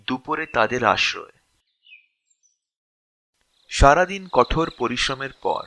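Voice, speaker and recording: A man narrating a scripted story expressively, in short phrases with dramatic pauses. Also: mid-range voice, sharp tone, slight background noise.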